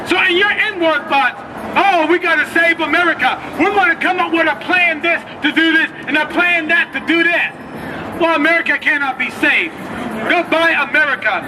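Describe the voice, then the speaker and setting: Confrontational male street preacher, very high-pitched, open-air corner with crowd and traffic noise. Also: normal speed, over music, poor audio. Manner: loud, confrontational, addresses passersby directly. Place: outdoor city street corner.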